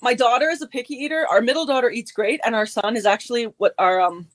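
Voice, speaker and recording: A woman's voice: on the high side, fast. A middle-aged woman born in Germany, chatting as a guest author and laughing often. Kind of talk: casual chat.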